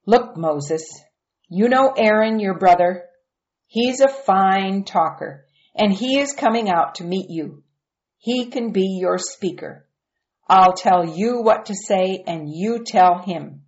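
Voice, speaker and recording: A woman reading a storybook aloud and voicing God as a calm, firm and reassuring presence. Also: medium pitch, slow. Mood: commanding. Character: God, calm patient commanding voice. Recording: dull lo-fi recording.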